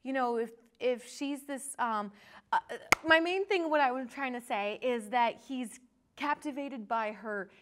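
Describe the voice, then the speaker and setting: A woman's voice: on the high side, measured pace, a bit bright, a little hiss or hum. A woman guest on a talk show, chatting casually about films in an informal, rambling way.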